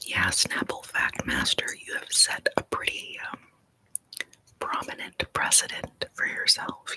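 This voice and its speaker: A young adult from Texas whispering playful tongue twisters and small talk in an ASMR video, each word shaped crisply. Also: very high-pitched, sharp tone, low-quality recording. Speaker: ASMR creator.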